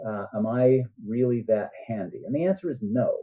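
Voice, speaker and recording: An older man talks casually as an interview guest, in a very dark, soft-edged tone. He rambles a bit and checks in with his listener. The recording is dull and lo-fi. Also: fairly low pitch, expressive, moderate pace. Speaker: guest author.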